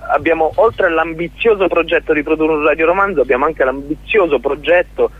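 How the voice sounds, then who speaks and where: A man being interviewed as a guest about his theatre collective, talking in a halting way with many restarts and repeated words. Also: on the high side, slightly rough, no echo. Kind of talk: casual radio interview. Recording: tinny phone line.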